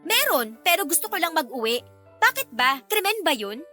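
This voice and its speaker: Feisty, high-pitched young woman, Raya. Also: very animated, normal speed, pushed and bright, faint music behind, heavily acted. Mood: irritated, defiant. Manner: sassy, indignant, argumentative. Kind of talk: Filipino radio romance drama acting.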